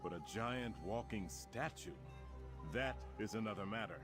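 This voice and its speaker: A commanding fantasy-game prince, played by a man, addresses comrades in a fairly low voice. The delivery is formal, confident and rousing, over clearly audible music. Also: normal speed, lightly acted. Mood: confident, scheming. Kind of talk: fantasy video game acting. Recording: prominent music behind the voice.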